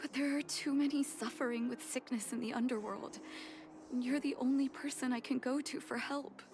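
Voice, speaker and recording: A woman's voice: high voice, fairly even intonation, moderate pace, music in the background. A woman playing an earnest, emotional young doctor and devoted daughter in a drama, with a heartfelt manner. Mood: earnest, pleading. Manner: apologetic, heartfelt. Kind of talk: dramatic voice acting.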